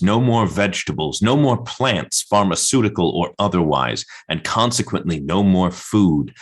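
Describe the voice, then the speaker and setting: Man acting as radio play orator, deep voice, solemn, biting, theatrical recitation. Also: fairly bright tone, exaggerated voice.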